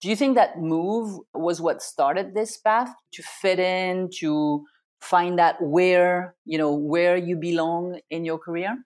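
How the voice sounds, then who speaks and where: A woman's voice: on the low side, fairly even intonation, slow, a little mellow. Woman interviewer with long, winding questions.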